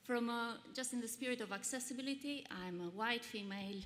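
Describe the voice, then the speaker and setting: A woman in her forties with an Albanian accent, giving an earnest, measured conference pitch with frequent fillers. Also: a bit bright. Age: 40s. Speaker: pitch presenter. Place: large conference hall. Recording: long hall reverb, faint noise.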